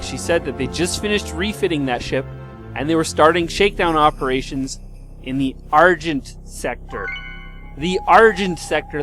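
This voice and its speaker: A man's voice: fairly high pitch, a bit bright. A man playing an earnest, disbelieving fighter pilot in a sci-fi audio drama, insistent and colloquial.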